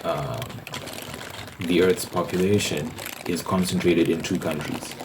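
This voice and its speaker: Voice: low voice, noisy background. An ASMR host giving an informal, rambling monologue.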